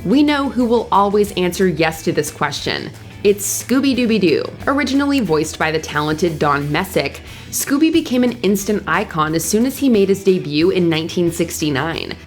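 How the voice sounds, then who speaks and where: Low-pitched woman narrating a countdown. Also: expressive, moderate pace. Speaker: narrator and host of a countdown video. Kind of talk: scripted narration of a top ten list. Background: background music.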